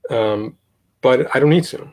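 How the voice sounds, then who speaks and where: A young man from Italy, talking casually as an interview guest, halting with many fillers and restarts. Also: medium pitch, darkish tone. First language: Italian. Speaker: guest, podcast host.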